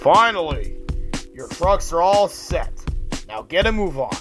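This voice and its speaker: A surly storybook dock workman voiced by a narrator, curt, bossy and impatient. His pitch moves around in a lively way over clear backing music. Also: measured pace.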